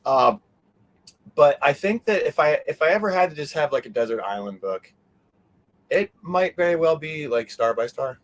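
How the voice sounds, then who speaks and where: A man's voice: on the high side, animated, normal speed. A man as an interview guest in casual fan chat, joking and cutting into his own rambling sentences. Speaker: interview guest, convention organizer.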